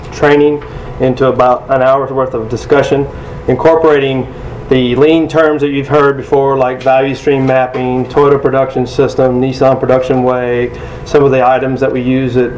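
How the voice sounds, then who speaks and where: A man in his forties giving a loud, energetic, self-deprecating presentation to a conference audience. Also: mid-range voice. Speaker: conference speaker. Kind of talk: presentation talk. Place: large conference room. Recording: echoey room from far off, noisy.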